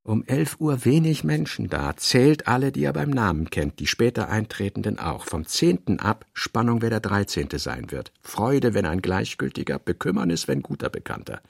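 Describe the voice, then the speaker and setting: A man's voice: lowish voice, expressive, normal speed, creaky, few pauses, breathy edge, no room sound, studio quality. A man giving a dramatic literary reading for a radio play, often in clipped, note-like phrases. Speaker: literary reader.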